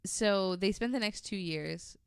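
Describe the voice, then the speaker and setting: A woman's voice: medium pitch, unhurried, gravelly, clean tone, dry recording, clean studio sound. A woman telling a story in casual, chatty conversation, informal and given to jokey asides. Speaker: podcast guest panelist telling a story.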